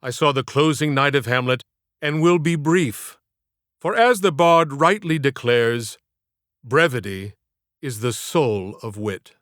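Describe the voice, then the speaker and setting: A man reading his own literary audiobook in a theatrical, measured storytelling manner. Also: medium pitch, animated, a bit bright, no echo, studio quality. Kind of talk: scripted literary narration.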